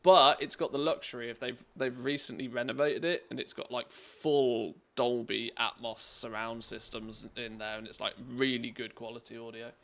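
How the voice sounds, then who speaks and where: A man's voice: mid-range voice, fairly even intonation, normal speed, hardly any pauses, fairly bright tone. A man streaming a game, chatting casually with his viewers and grumbling at the game between rambling stories. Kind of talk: casual chat while playing a game.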